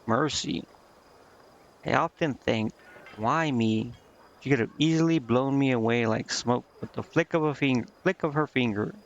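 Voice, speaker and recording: A man with a mid-range voice talks casually through a video game playthrough. He rambles as he thinks aloud and stumbles when reading text out. The recording is a bit dull and lo-fi. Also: mid-range voice. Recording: dull, lo-fi microphone sound.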